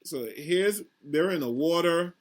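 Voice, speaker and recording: A man, a school principal, reading a picture book aloud to young children in a simple, instructive manner, pausing to ask questions. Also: on the high side.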